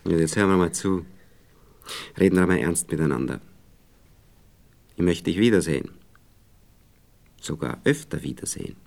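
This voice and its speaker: A man's voice: deep voice, normal speed, creaky, long pauses, darkish tone. An actor playing an evasive, condescending Viennese man in a radio play, cajoling and patronising in a hesitant way, with a Viennese accent. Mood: earnest, hesitant. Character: evasive, condescending married Viennese man courting a young woman. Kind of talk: naturalistic radio play dialogue.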